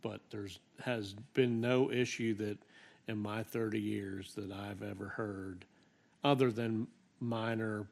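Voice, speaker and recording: A man with a gravelly, husky voice, pitched fairly low. He rambles and hedges at a township meeting. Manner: hesitant, rambling. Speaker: township board member.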